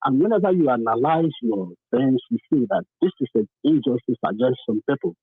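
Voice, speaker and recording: A man's voice: mid-range voice, very animated, a little fast, vocal fry, slightly rough, no room sound. Nigerian man on a thin phone line. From southern Nigeria. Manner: emphatic, repeats phrases for stress. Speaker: caller to a talk programme. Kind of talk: impassioned phone-in testimony.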